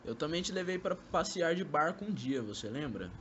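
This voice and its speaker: A man's voice: a little high, animated, moderate pace, a bit bright, dull audio, a little hiss or hum. A young man acting a sly, coaxing former lover in an amateur radio drama, speaking Brazilian Portuguese with poetic, theatrical delivery. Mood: teasing.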